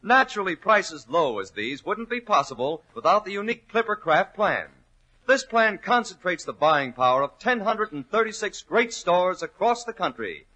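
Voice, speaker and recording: A man's voice: high-pitched, pushed and bright, low-quality recording. A man working as a radio announcer, reading a scripted 1940s advertisement with a polished salesman's delivery. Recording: old 1940s radio recording.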